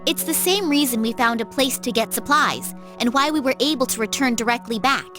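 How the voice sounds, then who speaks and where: A woman playing an outspoken, excitable young female character in an anime video game. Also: fairly high pitch, a little fast, natural voice. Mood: defensive. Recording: music behind the voice.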